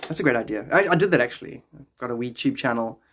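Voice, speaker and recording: A man's voice: mid-range voice, animated, fairly bright tone. A man in his thirties with a South African accent, chatting casually and playfully in slang as he hosts a live stream, close to a phone in a small room. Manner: playful, slangy, greeting viewers in drawn-out exclamations. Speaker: live streamer and podcast host.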